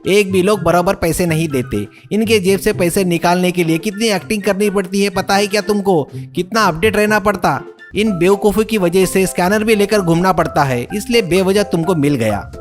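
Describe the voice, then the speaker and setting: A man's voice: sits high, normal speed, faint music behind, voice pushed up, natural voice. A man voicing a brazen, scheming beggar in a cartoon story, pleading and complaining theatrically. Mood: indignant. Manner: pleading, loud, indignant street patter.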